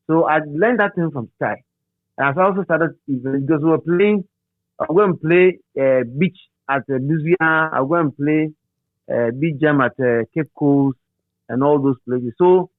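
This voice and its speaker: A middle-aged Ghanaian man reminiscing as an interview guest in a halting, repetitive, animated storytelling manner. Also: on the high side, no echo. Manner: halting, repeats words and phrases, animated storyteller. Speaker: interview guest, radio DJ and presenter. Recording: thin phone-like sound.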